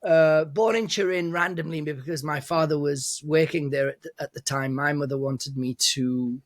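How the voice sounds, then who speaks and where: A man's voice: a little high, fairly even intonation, normal speed, dry recording, clean studio sound. A man in his forties from Italy, talking casually as a guest DJ in an interview, with frequent restarts, fillers and laughter. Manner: hesitant, many restarts and fillers, laughs often. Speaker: guest DJ and producer.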